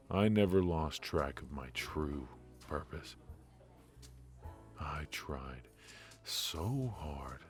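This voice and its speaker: A man acts out a ruthless mafia boss in a noir audio drama, his voice sitting extremely low. He speaks slowly and confidingly, weighted with weariness and long pauses, over clear background music. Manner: measured, weary, confiding, with heavy pauses. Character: brooding, ruthless male mafia boss with a hidden purpose. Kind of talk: intimate ASMR roleplay, noir audio drama.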